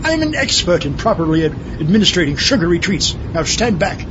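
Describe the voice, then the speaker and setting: An actor playing an excitable, pompous, self-righteous mad doctor in a comic horror audio drama. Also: male voice, high-pitched, a little fast, background noise. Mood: indignant. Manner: pompous, theatrical. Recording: dull lo-fi audio with clear music.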